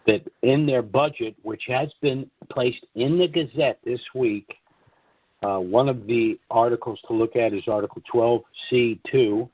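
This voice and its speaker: A man with a fairly low voice speaks through dull, somewhat lo-fi conference call audio. He hosts the call as an informal briefing, explaining things in a plain-spoken way and freely giving his opinions. The sound is dry, with little room around the voice. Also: no room sound. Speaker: host of an investor conference call. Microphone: conference call line.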